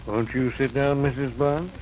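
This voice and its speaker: An actor playing an unhurried, formal judge with a polite, methodical manner in a 1940s radio drama. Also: male voice, medium pitch, steady tone, a little mellow, close to an everyday voice. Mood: polite, businesslike. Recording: slightly noisy 1940s radio recording.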